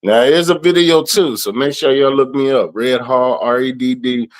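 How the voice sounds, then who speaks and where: A raspy-voiced man in his thirties from the southern United States, a rapper and event planner, talking in a relaxed, rambling way with lots of "you know". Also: medium pitch, normal speed. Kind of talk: casual interview.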